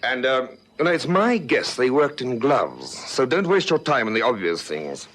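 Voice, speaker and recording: An actor playing a shrewd, methodical senior police detective, authoritative and thoughtful, in a vintage radio drama. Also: male voice, medium pitch, sing-song, moderate pace, fairly bright tone. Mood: brisk, commanding. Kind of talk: 1960s-70s radio drama acting. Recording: noisy vintage radio recording.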